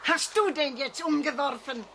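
A woman's voice: high voice, normal speed, gravelly, a bit bright, slight background noise. A woman playing a scolding, impatient mother in a children's radio play. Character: scolding mother gathering pine cones.

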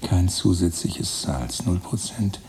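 An obsessive man planning his spartan seclusion in a radio play. His voice is very low and gravelly, with a dark tone, and he enumerates every detail precisely. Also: animated, slow pace, a little hiss or hum, exaggerated voice. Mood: dry reciting. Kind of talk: radio drama monologue, German.

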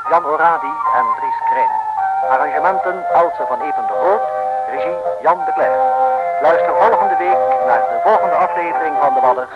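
A man's voice: a little high, big pitch swings, normal speed. A man as radio announcer, reading the scripted closing credits of an old Dutch radio play. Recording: dull old radio recording with music. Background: loud music.

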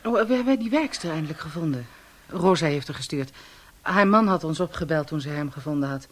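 A woman playing a brisk, matter-of-fact police investigator with a dryly teasing manner in a naturalistic radio drama. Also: low-pitched, expressive. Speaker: actor in a radio play.

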